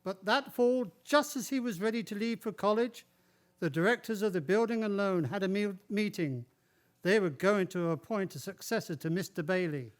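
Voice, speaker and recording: An actor playing a genial angel who narrates a man's life in a radio play, in measured storytelling style. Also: high voice, lightly acted. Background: music.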